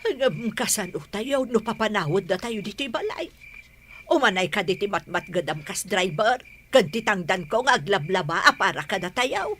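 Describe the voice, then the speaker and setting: A woman's voice: mid-range voice, expressive, normal speed, a bit bright, slight background noise, close to an everyday voice. An actress with an Ilocano accent playing a nagging, money-minded older mother in a radio drama, by turns pleading and scolding. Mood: pleading, pressing.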